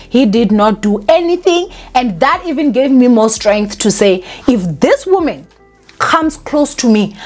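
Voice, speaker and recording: Young woman, high voice, very lively pitch, emphatic storytime vlogger. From South Africa. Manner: animated and emphatic, talks to viewers as "guys" and "honey". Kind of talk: storytime vlog.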